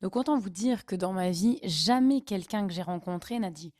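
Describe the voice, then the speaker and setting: A woman's voice: mid-range voice, normal speed, darkish tone, no room sound, studio quality. A young woman from northern France, casually telling travel stories with chatty, laughing asides. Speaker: vlogger. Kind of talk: casual storytelling.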